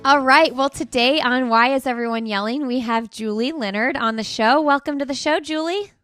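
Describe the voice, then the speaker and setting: A woman's voice: high-pitched, normal speed, a bit bright, faint music behind. A woman hosting a parenting podcast, upbeat and enthusiastic in casual conversation. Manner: bubbly, enthusiastic. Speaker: podcast host.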